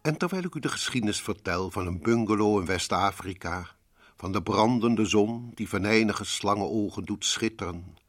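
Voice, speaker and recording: Man playing a husky, low, sinister storyteller. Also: moderate pace, close to an everyday voice. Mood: sinister, mock-cosy. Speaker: host and narrator of a suspense radio series. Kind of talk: dramatic radio horror narration. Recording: old radio drama recording.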